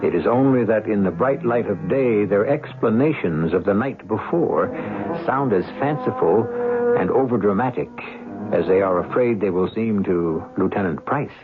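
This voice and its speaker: A man's voice: lowish voice, lively intonation, normal speed, over music, natural voice. A man acting as the solemn host and storyteller of a 1970s radio mystery drama, reading scripted narration. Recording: muffled 1970s radio broadcast.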